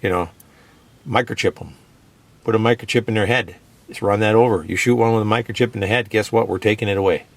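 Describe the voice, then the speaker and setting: A man's voice: lowish voice, fairly even intonation, fast talker. A man hosting a radio show, talking in an emphatic, opinionated, casual way and leaning on "you know" and "guess what". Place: radio studio.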